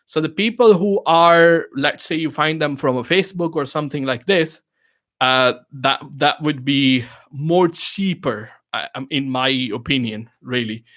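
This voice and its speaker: A man's voice: a little high, measured pace, fairly bright tone. A man whose first language is Urdu, hosting a live question-and-answer stream and giving casual business advice in loose, filler-heavy English. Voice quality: non-native English phrasing. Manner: conversational, many fillers and restarts, asks "you know what I mean".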